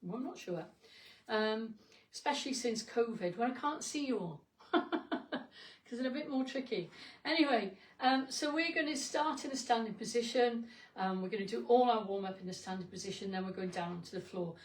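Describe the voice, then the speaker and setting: A woman with a croaky voice, leading an online Pilates class in a chatty, encouraging way. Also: fairly high pitch, moderate pace. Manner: chatty, encouraging, with asides and self-corrections. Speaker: Pilates instructor. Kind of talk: instructing an online exercise class. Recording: echoey room, mic from a distance, music behind.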